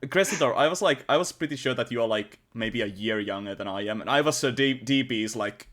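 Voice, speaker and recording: A man's voice: mid-range voice, fairly quick, fairly bright tone. A man in his twenties in a casual chat, teasing and playful. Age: 20s. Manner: teasing and playful, easily surprised. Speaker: podcast co-host.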